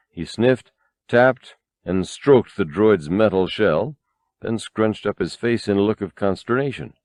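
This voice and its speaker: A man narrating a novel as an audiobook in a dramatic, expressive and measured storytelling style. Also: low voice, no echo.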